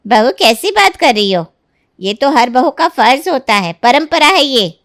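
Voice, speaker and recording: A woman's voice: high-pitched, very animated, unhurried, a bit bright, heavily acted. A woman playing an indignant, haughty middle-aged mother-in-law in a cartoon family drama. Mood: firm, surprised. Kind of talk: Hindi moral-story cartoon dubbing.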